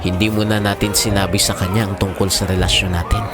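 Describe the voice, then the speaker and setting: Widowed father, played by a man, lowish voice, placating and earnest, audio drama with clear background music. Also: level tone, fast, natural voice. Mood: reassuring. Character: widowed father, defensive yet tender with his sweetheart.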